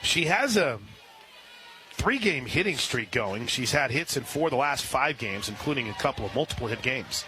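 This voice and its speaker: A man calling live softball play-by-play on radio, filling the gaps between pitches with conversational detail. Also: animated, moderate pace. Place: outdoor softball stadium broadcast position. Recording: clear outdoor ballpark ambient noise.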